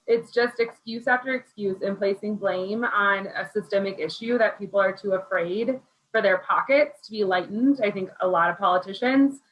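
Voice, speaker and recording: A woman's voice: medium pitch, rough recording. A young woman educator speaking earnestly and with indignation in a casual group discussion.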